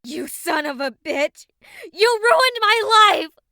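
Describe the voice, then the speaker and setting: A woman's voice: very high voice, expressive, slow pace, sharp tone, no room sound, exaggerated voice. A woman playing a blunt, hot-tempered and wounded young fantasy adventurer in a dramatised audiobook. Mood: tearful rage. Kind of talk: dramatised audiobook acting and first-person narration.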